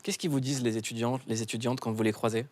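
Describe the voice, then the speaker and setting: A man's voice: mid-range voice, fairly even intonation, fast, a little mellow. A male TV talk show host who asks questions and teases his guests. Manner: joking, teasing the guests. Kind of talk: TV studio interview.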